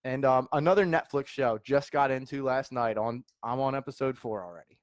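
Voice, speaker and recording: Male podcast co-host, mid-pitch, animated casual storyteller, dry close mic. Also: brisk, no room sound. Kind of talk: casual chat. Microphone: studio mic.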